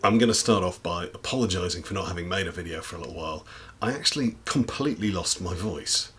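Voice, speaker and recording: A man from the UK, a YouTuber, talking casually to camera in a slightly husky voice after losing it, rambling and self-deprecating with lots of ums. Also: sits low, quick pace, fairly bright tone. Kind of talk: casual recommendation chat to camera. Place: indoors at home desk with big lights.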